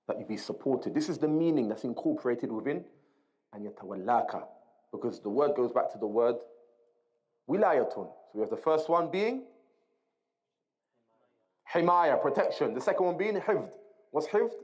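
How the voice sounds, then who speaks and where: A man giving an Islamic lesson as a religious teacher, explaining a text didactically, repeating key terms and mixing Arabic quotations into his English. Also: mid-range voice.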